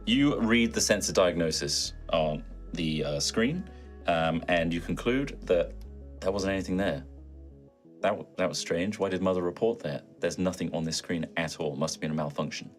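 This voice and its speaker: A man's voice: low-pitched, normal speed, faint music behind. A man acting as game master, narrating and giving rulings in a tabletop role-playing game.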